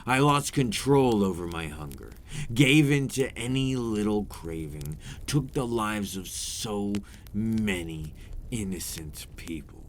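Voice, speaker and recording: Seductive, menacing male vampire played by a man, very low register, unhurried, remorseful ASMR monologue with formal, theatrical delivery. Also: animated, slight background noise. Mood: regretful, ominous. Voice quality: deep, breathy at times. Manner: slow, deliberate, theatrical, formal wording. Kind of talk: ASMR roleplay, dramatic monologue.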